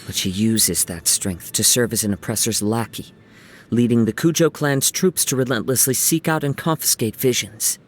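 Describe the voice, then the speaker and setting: A man voicing a gentle young wandering samurai in a video game, soft-spoken and reflective, with lyrical, poetic phrasing. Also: mid-range voice, normal speed, natural voice. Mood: solemn. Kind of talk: video game character voice lines. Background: music.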